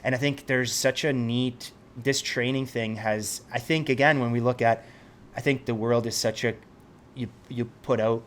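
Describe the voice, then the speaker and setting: A man's voice: mid-range voice, steady tone, normal speed, a bit bright, slight background noise. A man from Switzerland, a helicopter pilot being interviewed, talking in a reflective way and often restarting his sentences as he searches for words. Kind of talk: casual conversation.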